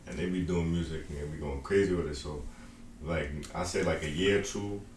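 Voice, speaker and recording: A man's voice: low voice, steady tone, a little fast. A man talks loosely about his music, full of slang and "you feel me". His voice sounds roomy and echoey, with faint noise behind. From Long Island, New York. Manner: loose, rambling, slangy with many fillers. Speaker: indie rapper guest. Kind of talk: casual interview.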